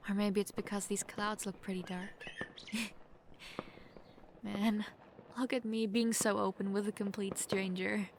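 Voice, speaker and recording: A young woman playing a sheltered, lonely princess who is playful, clingy and outspoken, in an expressive, chatty manner for an intimate roleplay audio drama. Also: medium pitch, measured pace, pauses often, lightly acted. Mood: wistful, relaxed. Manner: expressive, chatty, swinging from giddy to wistful. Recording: faint background noise, hobbyist home microphone.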